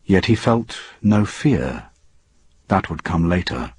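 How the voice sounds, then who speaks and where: A man with a husky voice narrating a scripted story in a dramatic reading style. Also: low-pitched, big pitch swings, slow, a little mellow. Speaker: audiobook narrator.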